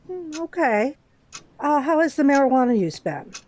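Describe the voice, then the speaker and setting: An actress playing a calm, encouraging virtual therapist in an audio drama, with a gentle, measured, professional manner. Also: female voice, high voice, poor audio. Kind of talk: horror audio drama acting.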